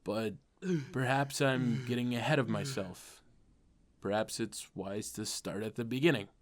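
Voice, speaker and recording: Self-deprecating teen hero-narrator played by a man for a comic dub; medium pitch, sardonic, confiding in the listener. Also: measured pace, vocal fry. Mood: wry. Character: wry, self-deprecating ordinary teenage boy turned superhero.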